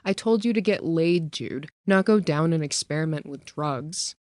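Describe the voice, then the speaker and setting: A man voicing a sharp-tongued woman woken in the night, scolding and swearing as she worries. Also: on the high side, normal speed, no room sound, studio quality, voice pushed up, natural voice. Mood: irritated, sarcastic. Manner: sassy, scolding, swears freely. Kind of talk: audiobook character voice.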